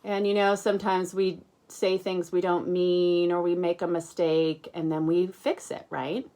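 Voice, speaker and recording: A woman teacher reading aloud to young children, chatting conversationally, explaining gently and asking them questions. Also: lowish voice, flat delivery, unhurried. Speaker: kindergarten teacher.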